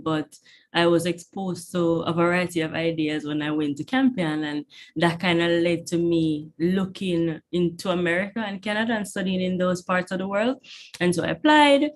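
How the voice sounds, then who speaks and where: Jamaican woman tutoring chem over a video call, lowish voice, keeps asking okay?. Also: a little hiss or hum. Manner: encouraging, chatty, checks in with "okay?" often. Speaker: chemistry tutor. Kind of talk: online class. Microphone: computer mic on video call.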